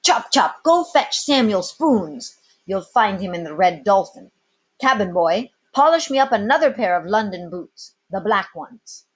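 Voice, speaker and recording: A woman voicing a commanding, wry male sea captain in a storybook, formal and brisk in manner. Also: medium pitch, very animated, slightly rough.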